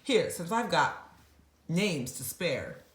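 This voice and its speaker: Woman as a storybook yeti, genteel, warm, bookish, unhurried, animated, roomy sound. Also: lowish voice. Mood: helpful. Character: warm, bookish, gentle Bigfoot monster with delicate belly. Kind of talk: storybook character voice.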